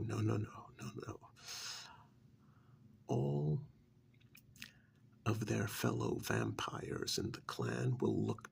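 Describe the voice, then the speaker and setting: A man playing a smug, persuasive vampire's familiar in an ASMR role-play, coaxing like a sardonic salesman in a breathy, gravelly, often whispered voice. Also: heavily acted. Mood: scornful, sardonic. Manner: coaxing, sardonic sales pitch with drawn-out emphasis.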